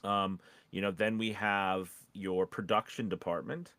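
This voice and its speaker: A man with a fairly low voice talks through business advice casually, as a podcast coach would. He is animated but halting, restarting and checking in with short questions. The sound is very dry, with no room echo. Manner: animated, halting, often checks in with "right?" and "okay?". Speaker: podcast host and voice-over coach.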